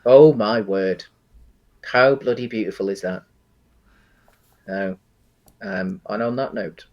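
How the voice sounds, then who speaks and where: A man's voice: lowish voice, slow pace, darkish tone, lo-fi sound. British man in casual podcast banter. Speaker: podcast co-host. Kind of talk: casual chat about video game films and games.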